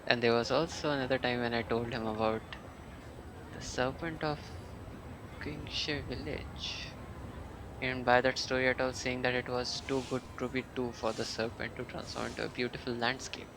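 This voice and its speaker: A man casually commentating on a video game live, thinking aloud in a halting way as he reads and reacts. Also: medium pitch, little pitch movement, background noise. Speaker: game streamer. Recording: game music behind the voice. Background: loud game music.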